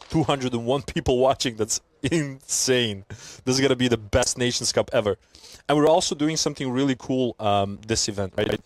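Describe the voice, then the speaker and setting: This young man from Belgium hosts a live sports stream in a relaxed, chatty way, laughing as he answers viewers. His voice is mid-pitched and sounds dry and close to the mic. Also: no echo. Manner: chatty, reads out chat messages, laughs often, excited during play. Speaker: livestream commentator. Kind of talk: casual stream chat and play-by-play commentary.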